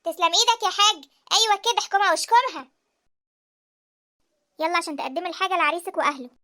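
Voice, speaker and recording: A woman voicing a strict, sharp-tongued Egyptian mother who scolds her daughter. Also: very high-pitched, quick pace. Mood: scolding. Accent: Egyptian Arabic. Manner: scolding, bossy.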